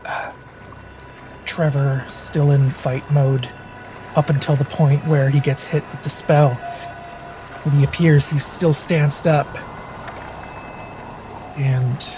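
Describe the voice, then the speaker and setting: Slow, halting man roleplaying over music. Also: mid-range voice, rough recording. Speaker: player in a tabletop game. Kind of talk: slow roleplay and narration of own character.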